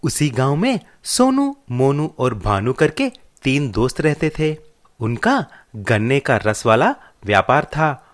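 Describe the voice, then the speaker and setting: A man's voice: mid-range voice, big pitch swings, takes it slowly. A man reading a scripted children's story as narrator.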